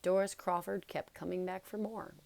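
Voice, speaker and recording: A woman's voice: fairly low pitch, level tone, moderate pace, creaky, a little mellow, no echo. A woman narrating a children's novel as a read-aloud. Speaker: storybook narrator.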